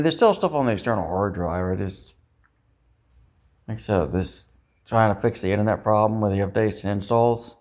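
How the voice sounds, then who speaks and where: Low-voiced man rambling to himself. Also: a little mellow. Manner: self-doubting, ruminating, mildly profane. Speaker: vlogger. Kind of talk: rambling casual vlog monologue.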